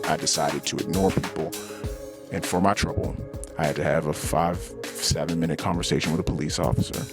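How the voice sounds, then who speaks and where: A middle-aged man hosting a podcast, delivering an unscripted personal rant with emphatic, repetitive phrasing and swearing. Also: low voice. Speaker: podcast host. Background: music.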